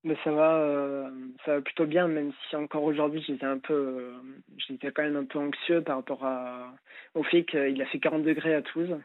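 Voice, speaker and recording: A young man calls in over a tinny phone line and talks haltingly, full of fillers and restarts. His voice sits a little high and barely moves in pitch. The sound is dry and close. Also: fairly even intonation, no room sound. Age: late 20s. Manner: hesitant, many fillers and restarts. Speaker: caller. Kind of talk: phone-in call.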